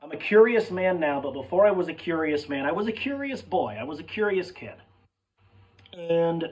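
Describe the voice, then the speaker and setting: A young man with a hoarse, cracking voice telling a rambling, sidetracked story in casual chat, swearing freely and calling his listeners folks. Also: fairly high pitch, lively intonation, normal speed, distant mic, rough recording. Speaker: sports fan vlogger.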